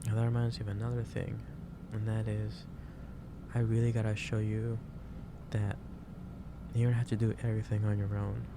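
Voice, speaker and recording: A man playing a gentle, caring office boss in a soft-spoken ASMR roleplay, talking in a halting, reassuring way. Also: fairly low pitch, completely flat delivery, natural voice. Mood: gently concerned. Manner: halting, reassuring, unhurried delivery. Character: gentle, caring male office boss lecturing an overworked employee. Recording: clearly noisy background ambience.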